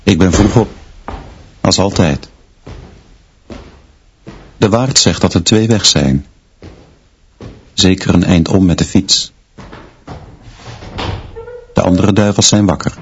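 A man's voice: low-pitched, muffled recording, noisy background. A man playing a stern, brooding schoolteacher who narrates his own story in terse, literary sentences for a radio play. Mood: watchful.

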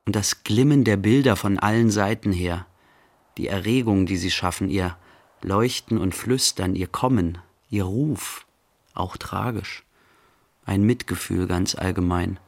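A pensive, mildly serene thinker in a literary radio play. His voice is low and creaky, and he moves very slowly through short, halting phrases as he muses inwardly. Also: darkish tone, heavily acted. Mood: reflective, quiet. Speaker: actor, narrator.

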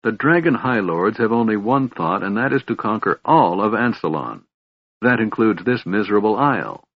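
A man voicing an angry human warrior, blunt and forceful, in a fantasy audiobook. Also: on the low side, expressive, moderate pace, no echo, dull audio.

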